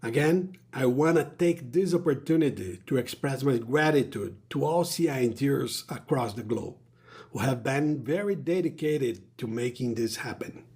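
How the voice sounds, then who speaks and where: A man giving a scripted earnings call presentation as a company executive, in a formal, measured corporate manner. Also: medium pitch, darkish tone.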